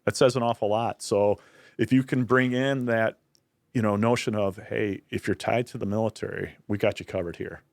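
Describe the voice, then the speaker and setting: A man's voice: on the low side, fairly even intonation, moderate pace, creaky, no echo. A man speaking as a guest on a podcast, explaining things earnestly with frequent restarts. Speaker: university director of military affairs, guest. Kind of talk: casual podcast conversation.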